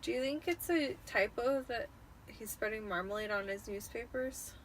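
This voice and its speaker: A woman's voice: fairly high pitch, moderate pace, slight background noise, poor audio. A tipsy woman giving an amateur dramatic reading of a storybook. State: tipsy after drinking wine.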